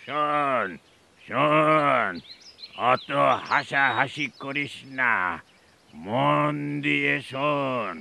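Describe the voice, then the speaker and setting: Old cartoon bird elder, really slow n creaky, sharp edge, keeps repeating himself, nagging to be heard. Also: male voice, medium pitch, a little hiss or hum, heavily acted. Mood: insistent. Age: older. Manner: halting, repetitive, insistent. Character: earnest old male bird, slow-spoken elder of the flock. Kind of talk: children's animated fable acting.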